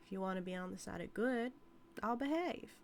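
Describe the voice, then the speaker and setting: A woman's voice: medium pitch, lively intonation, fairly quick, slight background noise, close to an everyday voice. A woman playing an obsessive, dominant outlaw cowgirl in an audio roleplay, with folksy, drawling, teasing Western talk. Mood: devoted, menacing. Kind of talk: dramatic audio roleplay monologue to the listener.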